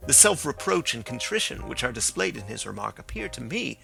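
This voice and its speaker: A man reading aloud as a sharp, confident middle-aged detective who lectures with precise, assured reasoning. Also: mid-range voice, big pitch swings, a little fast, gravelly, soft background music, full character voice.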